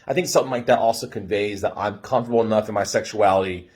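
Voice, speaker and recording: A young American man casually telling a story in an animated way, swearing freely and acting out dialogue. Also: lowish voice, quick pace, breathy edge. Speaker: storyteller giving dating advice.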